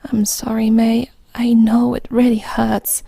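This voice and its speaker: A woman playing a loyal, protective friend in an audio drama, speaking with gentle firmness in a soft, breathy voice. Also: a little high, little pitch movement, unhurried, no room sound, exaggerated voice. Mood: consoling. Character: protective, loyal female friend, steady and consoling.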